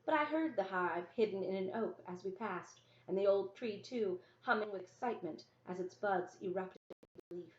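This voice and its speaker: A woman's voice: mid-range voice, moderate pace, hardly any pauses. A woman reading a children's novel aloud as scripted first-person narration. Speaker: story reader. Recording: roomy, echoey, dull lo-fi sound.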